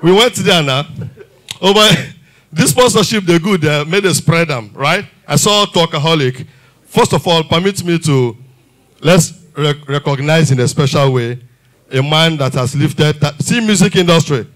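Nigerian guy doing stand-up on a big stage, kinda high voice, fires off rhetorical questions, handheld mic. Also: unhurried, rough recording. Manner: animated, mixes Pidgin and English, rhetorical questions. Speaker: stand-up comedian. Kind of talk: stand-up comedy set. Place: large theater stage with audience.

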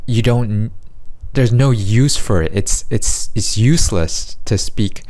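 A man's voice: fairly low pitch, takes it slowly, dark tone. A man in his thirties with a North American accent, talking casually and rambling a little as he shares his views in a vlog from a small bedroom at a desk mic. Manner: rambling, self-correcting, conversational. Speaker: vlogger. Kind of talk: casual talking-head commentary. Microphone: desk microphone in front.

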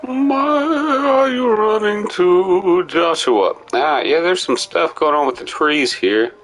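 A man's voice: high voice, lively intonation, slow, a bit bright, soft background music, poor audio. A man giving casual, playful and theatrical gameplay commentary as he streams, sometimes slipping into sing-song. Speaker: game streamer.

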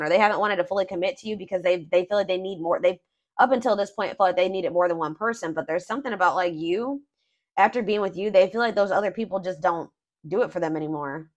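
A woman giving a casual tarot reading off camera, thinking aloud with fillers and restarts, her voice a little hoarse. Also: on the low side, quick pace, lo-fi sound. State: voice going hoarse. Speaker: tarot reader.

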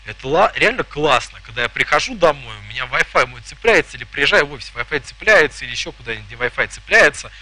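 Lively chatty man giving a tech talk. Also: mid-range voice, fast, few pauses. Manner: joking, chatty, asks the audience questions. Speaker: conference presenter. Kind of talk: live technical talk to an audience. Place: conference hall with a projector screen.

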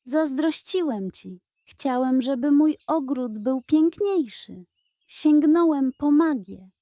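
Woman voicing a high-pitched, earnest little puppy. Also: expressive, takes it slowly, warm tone, no echo, clean studio sound, exaggerated voice. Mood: sobbing remorse. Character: small male puppy, remorseful, humble, eager to learn. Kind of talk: children's storybook character voice.

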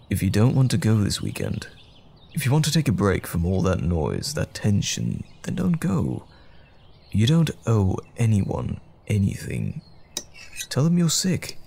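Casting: male actor for devoted, comforting boyfriend in audio roleplay; low, gravelly edge, tender and playful. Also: darkish tone, heavily acted. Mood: tender, reassuring. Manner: tender, reassuring, playful, uses pet names. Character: loving, attentive boyfriend comforting his troubled partner.

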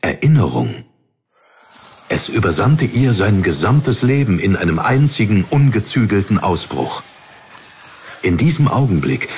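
A man narrating a fantasy audiobook in a dramatic, solemn, deliberately phrased style. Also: sits low, a little hiss or hum.

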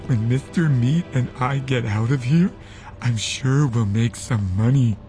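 A man's voice: medium pitch, measured pace, mellow, faint music behind, close to an everyday voice. An actor playing a creepy, childlike, oafish policeman henchman in a horror game. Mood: dreamy, childlike. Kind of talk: horror video game acting.

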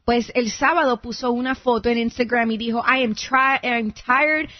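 High-voiced bilingual woman chatting on radio. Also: steady tone, moderate pace, dry recording. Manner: mixes Spanish and English freely. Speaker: radio morning show co-host. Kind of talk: casual bilingual gossip chat. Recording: dry, slightly dull radio aircheck.

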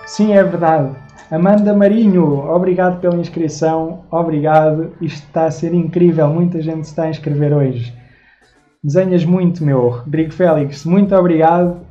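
A young man in his twenties with a Lisbon Portuguese accent chats on a home live stream and thanks each viewer by name. His voice is mellow and a little dark. The audio is dull and lo-fi, with faint music behind him. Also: fairly high pitch, steady tone, moderate pace. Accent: European Portuguese, Lisbon. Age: 20s. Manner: chatty, thanking viewers by name while showing drawings. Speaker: live-stream host and artist. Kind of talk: casual live-stream chat, reading viewer comments. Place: small home room indoors.

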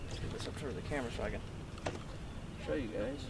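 Excitable young man fishing in the wind. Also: mid-range voice, fast. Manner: excited, exclaiming, loose and cheerful. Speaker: fishing vlogger. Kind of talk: casual vlog commentary. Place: outdoors on the bank of a pond. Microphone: camera mic on the bank. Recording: loud wind noise on the camera mic. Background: wind and water.

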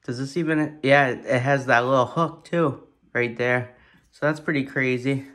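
A man giving a casual, excited hands-on product review at his kitchen table, thinking aloud and swearing in surprise. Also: medium pitch, normal speed. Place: kitchen table at home, indoors.

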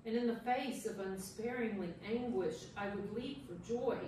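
A woman's voice: fairly high pitch, moderate pace, dark tone, lightly acted. A woman playing an anguished, despairing sufferer who cries out against God in a solemn dramatic scripture reading. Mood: anguished pleading. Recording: echoey room from far off, faint noise.

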